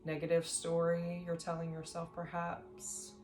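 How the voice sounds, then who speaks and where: Lowish-voiced woman guiding meditation, roomy echo. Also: completely flat delivery. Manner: gentle, slow, measured with long pauses. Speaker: meditation instructor. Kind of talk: guided sleep meditation. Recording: roomy echo, faint music behind.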